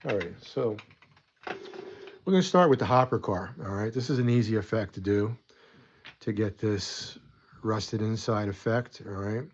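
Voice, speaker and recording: A man's voice: fairly low pitch, measured pace, long pauses, breathy edge, highs cut off. A man hosting a casual hobby weathering tutorial at his desk, chatty and rambling, a bit stuffy from a coming cold. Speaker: model weathering host.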